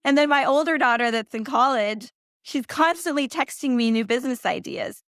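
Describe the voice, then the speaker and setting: A woman in her forties speaks in a smooth, resonant voice, dry and close to the mic. She talks casually as an interview guest. Her stories are animated, and she acts out the things other people said. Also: high voice, normal speed, no room sound. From Central America. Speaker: guest, company founder.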